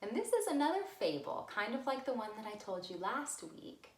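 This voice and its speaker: Female children's storyteller, very expressive melodic swings, unhurried, recorded in a roomy space. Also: a little high. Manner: simple, deliberate phrasing addressed to small children. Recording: roomy, echoey room sound.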